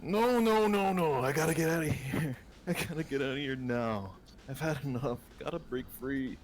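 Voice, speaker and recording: A man's voice: on the high side, moderate pace, a crowd in the background, natural voice. A man voicing a panicky, gullible young fortune teller in a game, rambling and exclaiming. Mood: frantic. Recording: music behind the voice.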